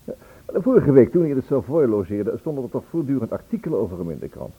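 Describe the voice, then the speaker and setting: An actor playing a probing, businesslike police inspector in a Dutch radio play, with measured questions and hesitant pauses. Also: male voice, medium pitch, sing-song, husky. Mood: measured, questioning. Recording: tinny band-limited old radio recording.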